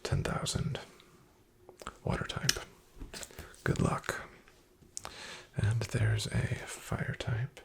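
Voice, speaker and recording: A man playing a shady, wryly menacing back-alley casino dealer in a soft-spoken, breathy ASMR roleplay, with an unhurried drawl. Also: fairly low pitch, steady tone, exaggerated voice. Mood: calm dealing. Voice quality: whispery, breathy, gravelly. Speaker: card game dealer in a roleplay.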